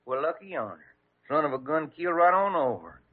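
An actor playing a blustering elderly cowboy in a radio drama, with a folksy Western American drawl. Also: male voice, a little high, very animated, fairly quick. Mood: folksy, sheepish. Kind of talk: 1940s radio acting. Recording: dull, noisy old radio recording.

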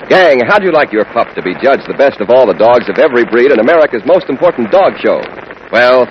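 Male sponsor announcer, vintage radio. Folksy storyteller talking to kids, very animated, dull recorded sound. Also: mid-range voice. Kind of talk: 1940s radio ad pitch to children. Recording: muffled hissy old radio recording.

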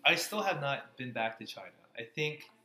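A man's voice: mid-range voice, animated, moderate pace, bright, forceful tone. An American man in his thirties, answering interview questions in a casual way. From Florida, USA. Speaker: interview guest, cardiology fellow. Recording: echoey room, faint background noise.

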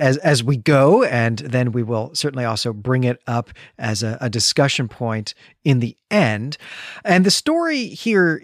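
A man's voice: mid-range voice, dry recording. A man with a mid-range voice co-hosts a podcast. He lays out a story in an orderly, conversational manner, explaining as he goes. The sound is dry, with little room around it. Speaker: podcast co-host. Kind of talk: conversational story recap, semi-prepared.

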